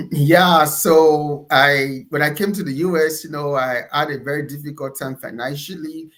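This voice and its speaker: A man's voice: on the high side, steady tone, a little slow, a bit bright. A man from Nigeria with a Nigerian accent, speaking as an interview guest in an emphatic, conversational storytelling way. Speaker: interview guest, entrepreneur and pastor.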